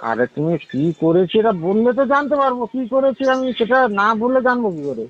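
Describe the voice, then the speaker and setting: Over a narrow telephone line comes a man. His voice is high for a man, and he talks quickly and informally, questioning and protesting. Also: very animated, no room sound. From West Bengal, India. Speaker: prank call victim. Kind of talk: casual phone conversation. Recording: tinny narrow phone line.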